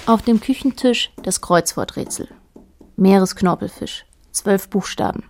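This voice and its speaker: An actress playing a detached, observant narrator in a radio drama, speaking in a measured, matter-of-fact way. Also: female voice, medium pitch, background noise, natural voice.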